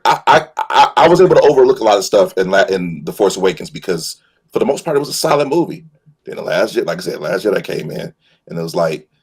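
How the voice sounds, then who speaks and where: Animated, raspy man arguing his opinions. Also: on the low side. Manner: repeats and restarts phrases emphatically; colloquial, opinionated. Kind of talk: casual panel chat about films.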